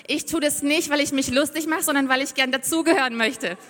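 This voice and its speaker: A woman's voice: high voice, brisk, a bit bright. A young woman from Siberia preaches a sermon on a church stage. She speaks in an effusive, heartfelt and enthusiastic way and reads aloud from the Bible. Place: church stage before a congregation. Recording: reverberant church hall.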